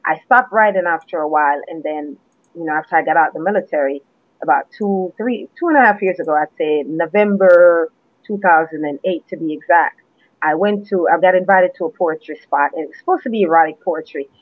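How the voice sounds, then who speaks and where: A woman from Jamaica, a guest poet, chatting in an interview and telling her story in a relaxed way with restarts, heard over a phone line. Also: sits low, fairly quick, dry recording. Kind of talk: casual interview chat. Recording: tinny phone line, dry.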